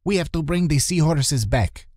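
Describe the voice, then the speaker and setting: A man's voice: on the high side, expressive, moderate pace, a little mellow, dry recording, studio quality, voice pushed up. A man voicing a short, sinister, scheming wizardly man in a children's audiobook, urgent and conspiratorial.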